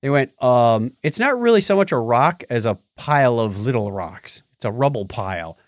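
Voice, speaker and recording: A man with a mid-range voice and lively, swooping intonation. He talks through news items casually as a podcast co-host, with a playful bantering manner. The sound is close and dry. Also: mid-range voice, normal speed, no room sound. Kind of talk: casual conversational news discussion.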